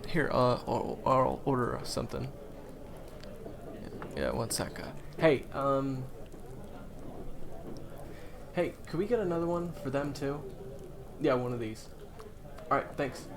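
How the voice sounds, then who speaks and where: A man's voice: mid-range voice, expressive, takes it slowly, vocal fry, pauses often, crowd noise. A man acting in an audio roleplay as a friendly, awkward, eager young guide to monster hunters, talking hesitantly and stammering to the listener. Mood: flustered, friendly.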